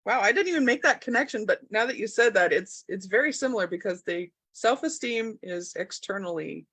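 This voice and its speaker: A woman with a mid-range voice, recorded very dry. She talks casually as an interviewer, reflective, piecing her thoughts together out loud as she goes. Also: medium pitch, lively intonation, a little fast, slightly rough, no echo. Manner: reflective, thinking aloud. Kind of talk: casual conversation.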